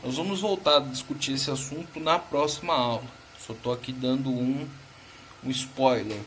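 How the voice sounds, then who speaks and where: A man's voice: mid-range voice, steady tone, normal speed, fairly bright tone. Brazilian Portuguese man, teacher, patient explanations, frequent check-ins, recorded lesson, faint background noise. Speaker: history teacher.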